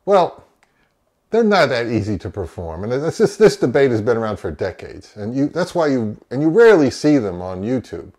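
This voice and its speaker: A man's voice: medium pitch, sing-song, moderate pace, darkish tone. An American man in his fifties giving a semi-scripted review to camera, emphatic and opinionated, posing questions and answering them himself. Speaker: audio reviewer and host. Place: small indoor home office.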